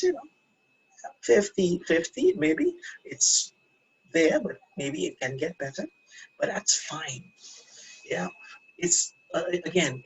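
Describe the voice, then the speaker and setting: Husky man teaching English phrases. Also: on the high side, low-quality recording. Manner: encouraging, repeats key phrases, asks learners questions. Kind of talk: casual English lesson to camera.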